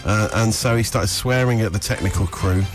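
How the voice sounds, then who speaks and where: A man's voice: fairly low pitch, a little fast, over music. A man reading showbiz news on a radio breakfast show in casual banter, laughing through his lines and getting flustered. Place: radio studio.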